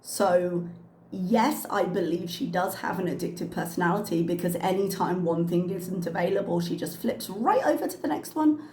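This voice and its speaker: Chatty commentary host in an echoey room. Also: female voice, on the low side, normal speed, darkish tone, a little hiss or hum. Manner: chatty, frank, with frequent ums and uhs. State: slightly losing her voice from a cough. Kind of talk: casual reaction commentary. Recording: echoey room with faint ambience.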